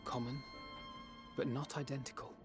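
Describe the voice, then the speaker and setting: An actor playing a grave, analytical scholar in a fantasy game, formal and deliberate in delivery. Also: male voice, medium pitch, little pitch movement, music in the background, natural voice. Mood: grave, pondering.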